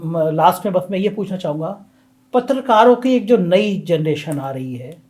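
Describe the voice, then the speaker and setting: A man's voice: high voice, lively intonation, normal speed, darkish tone. A man hosting a channel, asking probing interview questions and pushing back in with repeated starts.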